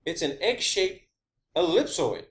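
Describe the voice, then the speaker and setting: A man with a distinctly high voice delivers an informal monologue as an online presenter. He is emphatic and repetitive, swears freely and poses questions that he answers himself. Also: big pitch swings, measured pace. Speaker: online video maker presenting own theories. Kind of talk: informal monologue explaining a theory.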